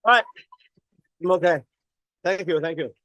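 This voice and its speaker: A man's voice: sits high, normal speed, bright, forceful tone, dry recording, highs cut off. A man from Hong Kong gives a personal acceptance speech on stage, hesitant, self-deprecating and choked with emotion. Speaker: honored artist giving an acceptance speech. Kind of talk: halting, personal thank-you speech. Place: ceremony stage.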